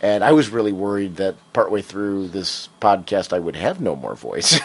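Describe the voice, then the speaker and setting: A man co-hosting a comics podcast, chatting casually and enthusiastically, his voice raspy from allergies. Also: deep voice, sing-song, moderate pace. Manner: rambling, enthusiastic, many fillers. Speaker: podcast co-host. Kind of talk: casual comic book chat.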